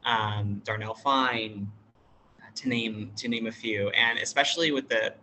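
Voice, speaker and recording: Non-binary american teacher on a video call, bright voice, lots of ums and restarts, thinking it through. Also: medium pitch, steady tone, unhurried, slight background noise, rough recording. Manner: thoughtful, hesitant, with many fillers and restarts. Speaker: teacher at an international school; workshop facilitator. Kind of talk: casual interview talk.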